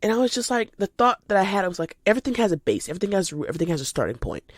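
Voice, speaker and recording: An eighteen-year-old woman chats casually and spontaneously as a podcast host, animated and informal, slightly stuffy from a cold. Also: medium pitch, very fast, no room sound, clean studio sound. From United States, lives in Georgia. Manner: animated, informal, addresses listeners as y'all. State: recovering from a cold.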